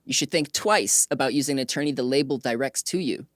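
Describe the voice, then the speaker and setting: A woman's voice: rumbling low, fast, no room sound, studio quality. A woman hosting an interview in a casual, informal way, often affirming with short repeated acknowledgements. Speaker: interview host.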